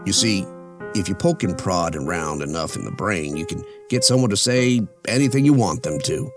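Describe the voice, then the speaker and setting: A low male villain voice for a radio play, with music behind it. He is a twisted scientist who kills for sport, taunting and gloating as his pitch swings theatrically. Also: lively intonation. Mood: smug, menacing. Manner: taunting, drawn-out theatrical delivery. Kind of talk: audio drama acting.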